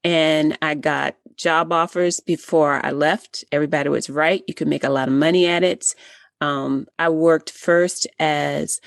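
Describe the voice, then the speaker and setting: Lowish-voiced, candid middle-aged woman. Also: fairly even intonation, a little slow, creaky, no echo, studio quality. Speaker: interview guest. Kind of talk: conversational storytelling interview.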